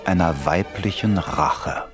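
A man's voice: deep voice, takes it slowly, music in the background. A man narrating a radio play as a wry, theatrical storyteller.

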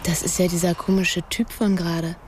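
A woman's voice: on the low side, level tone, a little fast, a little mellow, a little hiss or hum, close to an everyday voice. Actress as curious, probing woman newcomer. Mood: skeptical. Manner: short direct questions. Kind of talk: naturalistic radio drama acting.

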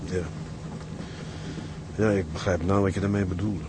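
An actor plays a young ship's mate in a radio drama, homesick and afraid. His voice is low and he speaks in a brooding, halting way. A clear engine-like noise runs behind him. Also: steady tone, natural voice. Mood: fearful, downcast. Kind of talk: Dutch radio drama acting.

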